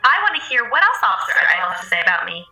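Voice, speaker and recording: A woman's voice: mid-range voice, sing-song, very fast, echoey room, over music, close to an everyday voice, poor audio. A woman playing an indignant, sarcastic shipboard artificial intelligence in a radio drama, with a mocking manner. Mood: cool, wounded.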